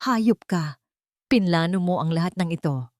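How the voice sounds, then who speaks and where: A woman's voice: lowish voice, lively intonation, measured pace, a little mellow, no room sound, clean studio sound. Woman voicing a desperate, bitter cornered man. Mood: furious.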